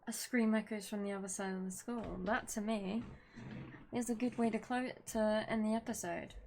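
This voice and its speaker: A woman's voice: medium pitch, steady tone, normal speed, darkish tone, a little hiss or hum. A young woman playing a horror game at home, chatting casually, swearing now and then and reading the story text aloud. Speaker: gaming video creator. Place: at home with her dog.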